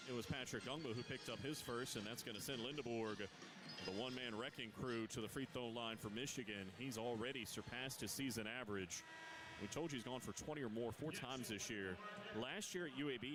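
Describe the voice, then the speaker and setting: A man calling a basketball game live on radio as the play-by-play announcer. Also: medium pitch. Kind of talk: live radio sports commentary. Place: courtside in a basketball arena. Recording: loud arena noise behind the voice. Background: arena noise, bouncing ball.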